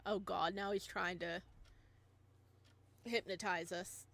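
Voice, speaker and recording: A woman's voice: fairly low pitch, takes it slowly, vocal fry, dry recording. A woman reading a visual novel game aloud, with dramatic, theatrical narration and playful reactions. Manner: expressive, theatrical.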